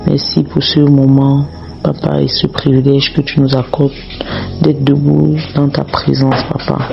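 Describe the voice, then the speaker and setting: A man with a cold preaching slowly and repetitively, praying and teaching a Bible passage. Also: medium pitch, monotone, creaky, muffled recording, over music. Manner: slow, repetitive, prayerful. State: has a cold, slightly hoarse.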